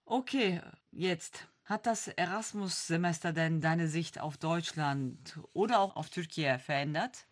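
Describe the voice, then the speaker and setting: A woman's voice: low-pitched, unhurried, hardly any pauses. A woman interviewing in casual German, supportive and often finishing the other speaker's sentences.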